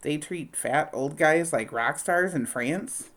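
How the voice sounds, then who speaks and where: A woman voicing an excitable, pleading young boy in a storybook read-aloud. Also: low-pitched, slow. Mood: incredulous.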